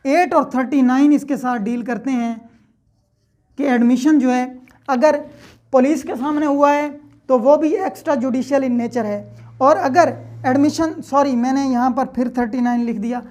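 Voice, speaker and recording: A woman lectures on law, walking through each point in a steady, methodical way. Her voice sits high, and she often pauses to check that her listeners are following. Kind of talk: lecture explaining law articles.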